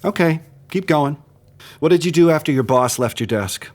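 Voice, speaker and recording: A man's voice: fairly high pitch, lively intonation, moderate pace. An actor playing a suspicious male interrogator in a comedic audio drama, asking pointed, knowing questions. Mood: suspicious, probing.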